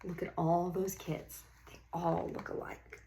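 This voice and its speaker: An encouraging woman teacher reads a storybook to a young class. Her voice sits a little low with a noticeable creak, and she takes her time, drawing out key words and pausing to put questions to the children. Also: little pitch movement, warm tone, a little hiss or hum, poor audio. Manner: encouraging, praising pupils. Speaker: teacher reading a picture book aloud to young children. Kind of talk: interactive classroom read-aloud with questions and praise.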